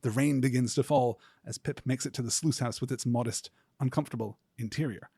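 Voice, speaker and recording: A man hosting a podcast, delivering scripted literary commentary in a measured, articulate way with deliberate, emphatic phrasing. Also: medium pitch, steady tone, mellow, breathy edge, no room sound, studio quality. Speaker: podcast host.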